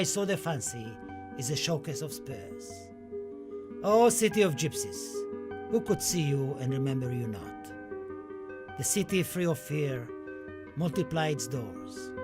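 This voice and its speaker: A man playing a passionate, proud Spanish poet in a theatrical radio play, declaiming his words and verse dramatically over music. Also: a little high. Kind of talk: theatrical radio-play monologue and poetry recital. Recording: music under the voice.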